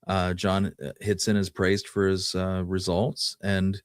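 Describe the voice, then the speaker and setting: Male podcast host, low register, dry close sound, conversational storyteller who lays out details. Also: monotone, unhurried, dry recording. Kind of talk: casual history storytelling.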